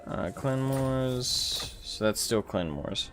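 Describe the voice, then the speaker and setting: A man's voice: medium pitch, expressive, slow pace, music in the background. A man streaming a strategy game, chatting casually and laughing at himself. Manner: self-deprecating, laughs often. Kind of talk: casual gaming chat.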